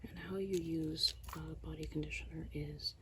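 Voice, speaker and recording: A soft-spoken ASMR host, whispering slowly through a product haul with a tired, strained voice. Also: medium pitch, fairly even intonation, background noise. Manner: mostly whispered, slow and hushed.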